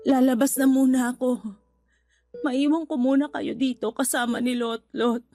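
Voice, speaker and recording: A high-voiced, guilt-ridden mother speaks through tears in an emotional radio drama. Her voice breaks with sorrow over soft background music. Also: little pitch movement, moderate pace. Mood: resigned. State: crying, voice breaking. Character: tearful, guilt-ridden mother of a dying child.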